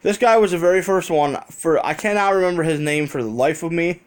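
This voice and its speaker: An American man with a slightly high voice speaks as a casual storytime vlogger. He rambles conversationally and swears freely. He is recorded on a phone held in his hand. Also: brisk, smooth. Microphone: handheld phone.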